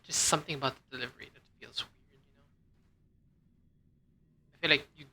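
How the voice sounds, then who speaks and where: A man's voice: fairly high pitch, steady tone, fairly quick, pushed and bright, breathy edge. A man streaming, giving casual, offhand commentary as he plays a game.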